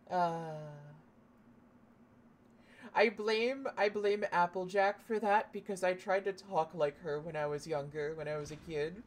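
A woman's voice: lowish voice, slow, long pauses. A young woman from Canada chatting casually and swearing while streaming a shooter game, laughing now and then. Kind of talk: casual gameplay commentary.

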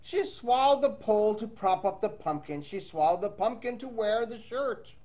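A man's voice: high voice, lively intonation, moderate pace, darkish tone. A man reading a children's storybook aloud in a playful, sing-song way, with chatty asides to young listeners. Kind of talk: animated read-aloud to children with casual asides.

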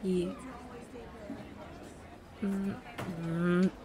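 A woman's voice: on the low side, little pitch movement, drawn-out words, crowd noise. A woman playing an affectionate, teasing young girlfriend on a secret cafe date in an intimate roleplay audio, speaking casually with hesitant restarts. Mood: soft, reassuring.

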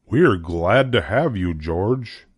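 A kindly, patient storybook schoolteacher, voiced by a man reading aloud. He explains things in a measured, low voice, recorded dry. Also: expressive, breathy edge, no room sound, faint music behind, heavily acted. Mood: instructive.

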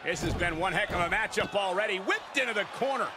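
A man voicing an excitable, dramatic play-by-play wrestling announcer in a video game. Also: high voice, fast, very noisy. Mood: excited. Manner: dramatic, exclamatory. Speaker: play-by-play commentator. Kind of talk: sports commentary in a video game. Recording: loud arena crowd behind commentary.

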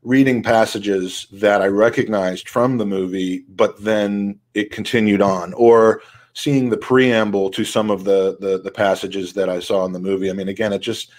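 A man's voice: lowish voice, steady tone, normal speed. An American man in his forties hosting a casual interview over a video call in a rambling, informal way. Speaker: podcast host. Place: room at home, indoors.

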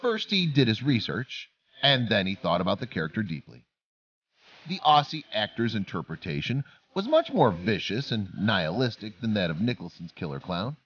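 A man's voice: on the low side, sing-song, normal speed, a bit bright, breathy edge, highs cut off. A man narrating a scripted documentary-style story as a voice-over.

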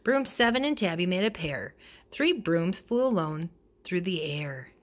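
A woman reading a children's picture book aloud in an expressive way, acting out the dialogue. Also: fairly low pitch, unhurried. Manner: expressive, acts out character dialogue. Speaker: storybook reader.

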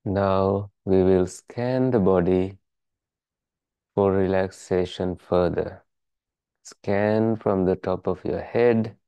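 A meditation teacher leading a guided relaxation in calm, measured, soothing instructions. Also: low voice, clean tone, no room sound.

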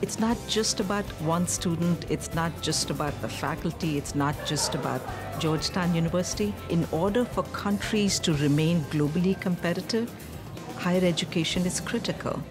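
A woman's voice: fairly low pitch, normal speed. A middle-aged woman, a faculty member, speaking emphatically in a documentary interview. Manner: emphatic, repeating for stress. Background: soft music bed.

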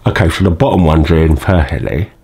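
A man's voice: rumbling low, fast talker, darkish tone, slight background noise. A man in his thirties with a slight lisp from new teeth aligners, chatting casually in a home vlog while fiddling with his teeth. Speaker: vlogger. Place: home room by a window.

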